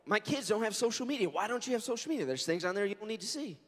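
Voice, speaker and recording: Hoarse pastor preaching in a reverberant hall. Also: male voice, high-pitched, animated, very fast. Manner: earnest, conversational preaching with storytelling. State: hoarse, scratchy voice. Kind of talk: sermon with prayer and scripture reading. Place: church sanctuary. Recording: long reverb of a large church hall.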